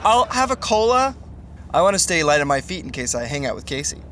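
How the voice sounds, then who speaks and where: A man's voice: a little high, sing-song, a little fast, fairly bright tone, slight background noise. An actor playing an earnest, lovestruck, emotional young man in a podcast sitcom, with dramatic delivery. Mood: casual.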